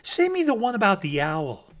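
A man voicing an eager, curious little male chipmunk in a storybook, in a raised, excited voice. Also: normal speed. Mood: delighted. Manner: excited, high, bright storybook voice.

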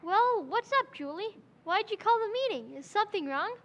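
A woman's voice: very high-pitched, animated, normal speed, fairly bright tone, muffled recording, exaggerated voice. A performer voicing an eager, earnest teenage boy in a 1940s-style radio comedy, exclaiming often.

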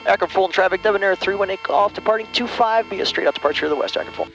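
A middle-aged man piloting a small plane, calmly talking through each step of his flight maneuvers over a headset mic. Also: high-pitched, rapid-fire, muffled recording, faint music behind. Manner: calm, steady step-by-step callouts. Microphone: aviation headset mic.

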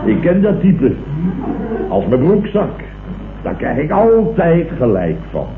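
Blustering Dutch boss, tinny radio. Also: male voice, a little high, wildly swooping pitch, slow, over music, exaggerated voice. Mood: grumbling, smug. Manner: grumbling, ranting, sarcastic, colloquial. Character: blustering, self-important, indignant male building contractor and boss. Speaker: actor in a radio comedy serial. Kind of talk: 1970s Dutch radio comedy acting. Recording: tinny, hissy old radio recording, roomy.

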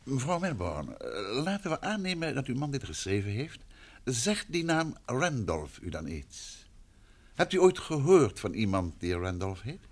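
An actor playing a polite, probing gentleman investigator in a vintage radio play, patient and attentive. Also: medium pitch, sing-song. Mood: questioning, polite. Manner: polite, questioning, measured.

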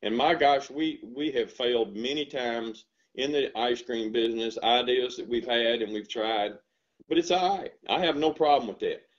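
A man in his sixties with a Southern accent, a dairy farmer telling stories in an animated, earnest, folksy way on a video call through a headset. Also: mid-range voice, normal speed. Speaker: dairy farmer and ice cream shop owner. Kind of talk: casual interview over video call. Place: home room with bookshelves. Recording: slightly dull lo-fi call audio.

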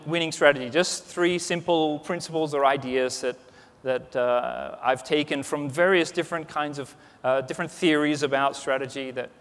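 A man in his forties giving a conversational conference keynote on a large stage, with lots of restarts and "you know.". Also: a little high. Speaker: keynote speaker. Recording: reverberant conference hall.

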